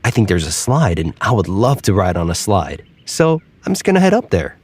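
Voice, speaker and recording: A man's voice: on the low side, wildly swooping pitch, fairly quick, breathy edge, exaggerated voice. A man voicing a curious, easygoing alligator in a storybook tale, friendly and laid-back. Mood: eager.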